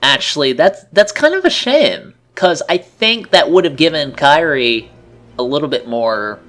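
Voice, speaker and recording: Sardonic man with a very animated pitch. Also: a little slow. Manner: joking, sardonic, blunt with swearing. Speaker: let's play commentator. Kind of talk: casual co-commentary on a video game.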